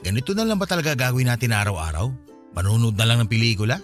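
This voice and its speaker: A man's voice: medium pitch, expressive, very fast, natural voice. A Filipino actor playing a playful, teasing boy next door in a radio drama. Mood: bored. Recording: music bed behind the voice.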